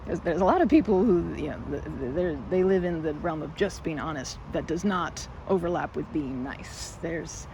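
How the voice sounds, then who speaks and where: A woman's voice: low-pitched, normal speed, few pauses, a little mellow, a little hiss or hum. A woman playing a timid, socially anxious young woman in an ASMR roleplay, stammering and rambling nervously as she corrects herself. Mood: flustered, appreciative. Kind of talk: ASMR roleplay, intimate one-sided romantic dialogue.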